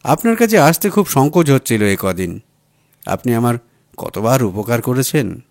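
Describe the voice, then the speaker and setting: A man's voice: mid-range voice, sing-song, moderate pace, vocal fry, darkish tone, no echo, studio quality. A man reading an audiobook voices a down-at-heel, apologetic jobless writer who talks in a familiar, plaintive way. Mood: embarrassed, pleading. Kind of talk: audiobook character dialogue.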